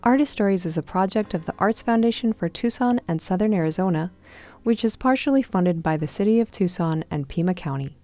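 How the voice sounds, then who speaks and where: A woman hosting a radio show in a studio, reading scripted introductions and asking questions in a calm, deliberate way. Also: mid-range voice. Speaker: radio host.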